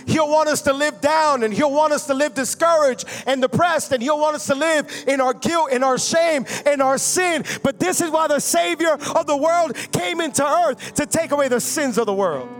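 A young man from Miami preaching a Christmas sermon, moving between rousing calls and quiet personal talk. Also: very high-pitched, normal speed, soft background music. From United States (Miami). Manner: rousing preaching, swinging from loud and fervent to quiet and intimate. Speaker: pastor.

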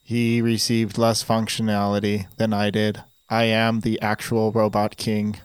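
A man putting on a pompous, resentful robot who talks in a dead-level monotone, every phrase stiff and formal. The voice is smooth and clean and sits close and dry, with no room around it. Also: lowish voice, unhurried, no room sound, lightly acted. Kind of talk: improvised comedy sketch.

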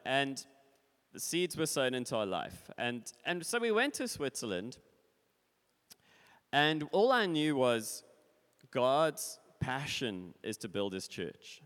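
A man's voice: mid-range voice, a bit bright. Man, forties, casual church testimony, loose and rambling, long hall reverb. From South Africa. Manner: casual, rambling, with fillers and laughs. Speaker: visiting speaker. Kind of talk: testimony sharing at a church service.

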